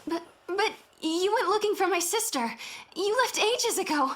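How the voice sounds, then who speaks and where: A woman playing a gentle, earnest young woman, anxious and bewildered yet resolved, in a dramatic video game. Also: very high-pitched, expressive, normal speed. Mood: startled. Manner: earnest, hesitant, questioning. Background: music.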